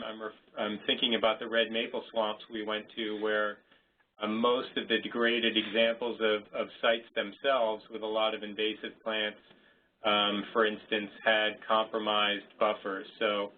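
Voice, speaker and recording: Brief: male webinar presenter, halting, thinks aloud, phone-line audio with faint hiss. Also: on the low side, completely flat delivery. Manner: hesitant, many restarts and fillers. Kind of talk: unscripted Q&A answer. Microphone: conference call line.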